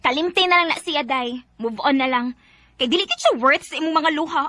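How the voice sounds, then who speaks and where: A woman's voice: high-pitched, a little fast, lightly acted. A woman with a Cebuano Filipino accent playing a bossy but caring young best friend in a radio drama. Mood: sassy, consoling.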